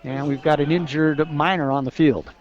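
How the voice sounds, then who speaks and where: Male football color commentator with a high voice, conversational and analytical, on a dull-sounding radio broadcast. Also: steady tone, normal speed. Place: outdoor stadium, live radio broadcast.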